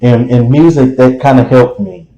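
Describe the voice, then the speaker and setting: A man talks in a dramatic, expressive way as an interview guest. He rambles, restarts and fills with um and you know. His voice sits at a middling pitch and rings in a roomy echo. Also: moderate pace. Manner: rambling with many fillers and restarts. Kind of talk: casual interview chat.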